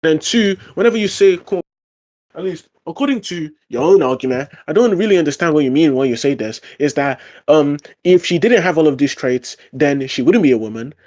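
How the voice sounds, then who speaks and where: A man's voice: a little high, lively intonation, brisk, darkish tone. A man in a casual online debate, arguing his point with frequent fillers and restarts. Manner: argumentative, lots of fillers and restarts.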